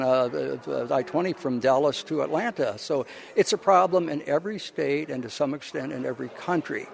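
A male college professor giving informal interview answers, explaining things in a halting, rambling way. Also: medium pitch, creaky.

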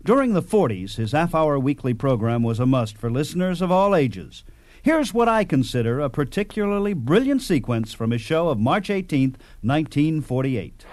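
Slightly high-voiced male radio host. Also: sing-song, moderate pace, darkish tone, no echo. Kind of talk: scripted narration introducing old radio shows.

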